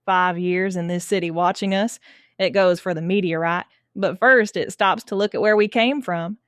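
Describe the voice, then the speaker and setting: Woman playing blunt, lower-voiced female Texas Ranger. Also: fairly even intonation, moderate pace, clear, resonant tone, no echo, clean studio sound, close to an everyday voice. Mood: intent, wry. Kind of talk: sci-fi audio drama acting.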